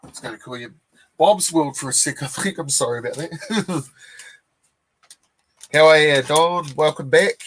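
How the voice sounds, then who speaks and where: A man in his forties with a New Zealand accent, chatting casually on a live stream as a comic collector, rambling and relaxed with lots of fillers and restarts. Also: a little high, a little slow, long pauses, low-quality recording.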